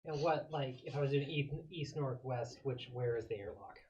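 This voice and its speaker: A man's voice: medium pitch, normal speed, darkish tone, dull audio. A man talking casually as a player in a tabletop roleplaying game.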